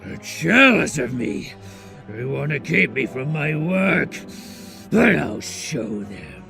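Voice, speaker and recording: An unhinged, arrogant supervillain scientist, grandiose and melodramatic. The gravelly voice swings widely in pitch over prominent music, as in a comic book dub. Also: male voice, exaggerated voice.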